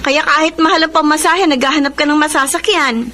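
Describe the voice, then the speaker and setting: A woman's voice: sits high, fairly even intonation, a little fast, sharp tone. An actress with a Filipino accent playing a doting, nagging wife in a radio drama, insistent with her reminders. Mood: fussing, concerned. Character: doting, nagging wife who fusses over her husband. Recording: faint noise and ambience behind.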